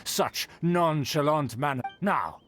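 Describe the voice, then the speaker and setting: A man's voice: fairly high pitch, animated, slow pace. Pompous, swooping, haughty nobleman. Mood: disdainful. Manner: pompous, disdainful, formal diction. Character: haughty, temperamental aristocrat man of a declining clan. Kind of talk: anime fantasy game acting. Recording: loud music under the voice.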